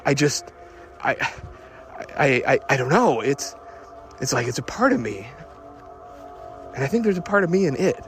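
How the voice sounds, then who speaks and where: A man playing an excitable, obsessive car lover who records a rambling, stammering, eager audio diary. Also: medium pitch, faint music behind. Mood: elated, fixated. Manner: halting, stammering, breathlessly eager.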